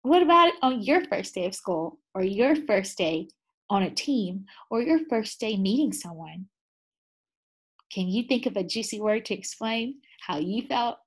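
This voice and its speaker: Husky-voiced, animated woman teacher. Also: mid-range voice. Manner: animated, asks the class many questions. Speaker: second grade teacher. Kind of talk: read-aloud lesson for children.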